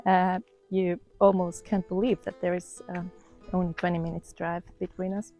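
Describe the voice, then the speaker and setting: A woman, casually vlogging outdoors and often pausing to search for words. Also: fairly low pitch, faint music behind. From Finland. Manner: relaxed, thoughtful, pauses to search for words. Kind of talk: casual walk-and-talk vlog. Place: outdoors in the countryside.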